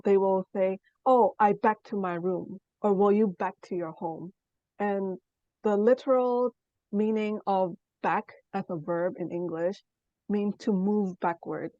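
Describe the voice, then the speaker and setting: A young woman from Burma, a native Burmese speaker, giving a student presentation in a measured, explanatory way with frequent pauses. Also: medium pitch, a little mellow, no room sound, lo-fi sound. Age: young adult. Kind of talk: class presentation.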